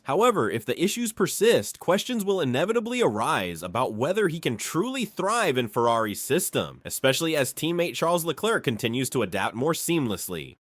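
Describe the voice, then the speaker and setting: A man with a slightly high voice reads scripted motorsport news. His intonation is very lively and emphatic, with dramatic stress in the delivery. The sound is dry and close. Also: no echo. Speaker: sports news narrator. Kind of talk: scripted narration, Formula One news commentary.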